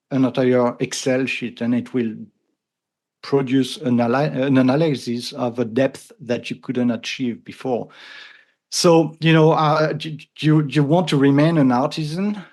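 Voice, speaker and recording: A man's voice: medium pitch, a little mellow. A middle-aged man from France with a thick French accent, speaking thoughtfully as an interview guest and often restarting his phrases. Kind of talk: conversational interview.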